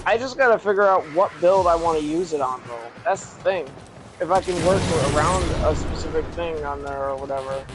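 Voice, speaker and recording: A man's voice: fairly high pitch, a little fast. A young man whose voice sounds younger than he is, chatting casually and self-deprecatingly while playing a game. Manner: rambling, self-deprecating, fillers and restarts. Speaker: gamer. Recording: loud game noise behind.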